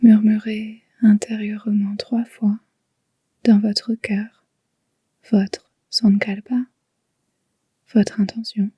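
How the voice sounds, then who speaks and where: Female yoga nidra guide, soft and extremely airy, mellow tone, unhurried and calming, recorded dry. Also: mid-range voice, no echo. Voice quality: soft, breathy, whispery. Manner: soothing, hushed, unhurried. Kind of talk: slow guided relaxation meditation.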